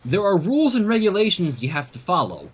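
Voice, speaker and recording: A sensible young pony princess, read by a man, in a high and slightly husky voice. The tone is patient, reasoning and mildly exasperated, as in a storybook. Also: sing-song, a little fast, heavily acted.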